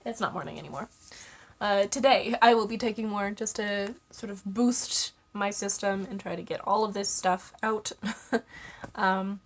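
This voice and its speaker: A woman's voice: mid-range voice, moderate pace. A young woman vlogging to camera, congested with a cold and chatting casually with lots of ums and small laughs. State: congested, stuffy-nosed. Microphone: camera mic.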